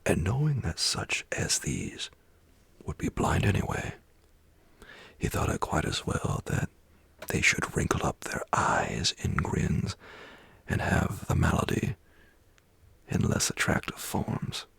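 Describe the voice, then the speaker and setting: A man narrating an ASMR bedtime story in a hushed, dramatic way, close to the mic. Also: extremely low pitch, huge pitch swings, slow pace, vocal fry, pauses often, husky, dry recording.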